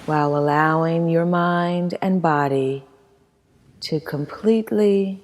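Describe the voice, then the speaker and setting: Low female voice doing guided meditation, super slow n soothing, big echoey reverb. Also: darkish tone. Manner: slow, soothing, measured with long pauses. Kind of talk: guided meditation, scripted narration. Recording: long hall-like reverb.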